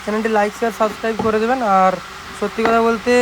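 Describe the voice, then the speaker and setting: Young Bengali man over loud background noise. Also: sits high, quick pace. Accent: West Bengal Bengali. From West Bengal, India. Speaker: speaker repair technician and channel host. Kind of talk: casual product showcase vlog. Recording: loud steady background noise, amateur recording.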